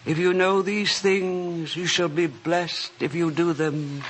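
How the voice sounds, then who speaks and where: An actor playing a solemn scripture narrator who also speaks the words of a grave Jesus, reverent and formal in a radio drama. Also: male voice, a little high, fairly even intonation, slow, a bit bright, slight background noise. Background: prominent music.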